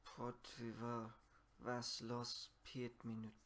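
Young guy learning the language, super slow, keeps stopping and repeating, faint hiss behind. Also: mid-range voice, monotone, fairly bright tone. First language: Japanese. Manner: halting, repeats phrases after the app, many pauses. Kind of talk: self-recorded speaking practice.

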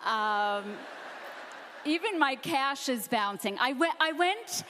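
A woman in her forties doing a stand-up set on a theater stage into a handheld mic, delivering deadpan self-deprecating one-liners. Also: high voice, takes it slowly, a little hiss or hum. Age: 40s. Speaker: stand-up comedian. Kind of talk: stand-up set and backstage interview.

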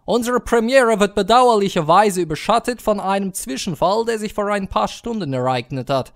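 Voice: medium pitch, lively intonation, normal speed, lightly acted. An actor playing a formal, grandiose pony film director in an audio drama. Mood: grave, formal.

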